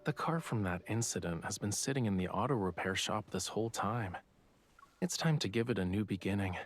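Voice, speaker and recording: An actor playing a suave, secretive young male artist in a romance game, speaking in a soft, measured, gently teasing way. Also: fairly low pitch, lively intonation, slight background noise, natural voice. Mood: earnest, reassuring. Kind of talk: voiced dialogue in a romance game.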